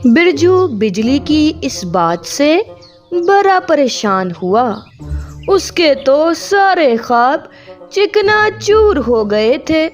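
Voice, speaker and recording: A woman's voice: sits high, sing-song, very slow, faint music behind. A woman narrating a children's cartoon story in Hindi as a storyteller.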